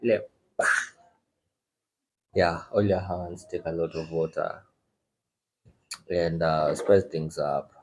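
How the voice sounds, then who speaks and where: A man with a Kenyan accent, a podcast guest making wry, deadpan jokes in casual banter. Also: low-pitched, slow pace, long pauses, slightly rough.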